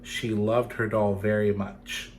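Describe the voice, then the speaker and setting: A man's voice: fairly low pitch, little pitch movement, slow pace. Playful male storytime reader, some background noise. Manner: playful, addresses young listeners directly. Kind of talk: children's picture-book reading with asides.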